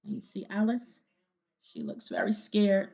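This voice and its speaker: A woman reading a children's storybook aloud, pausing to explain things and check in with young listeners. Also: medium pitch, lively intonation, vocal fry, mellow, husky.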